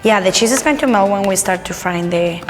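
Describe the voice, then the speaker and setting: A woman's voice: medium pitch, fairly quick, long reverb tail. A woman from Colombia, a native Spanish speaker with a Colombian accent, casually sharing personal stories and cooking as a guest. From Bogota, Colombia. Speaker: guest and home cook.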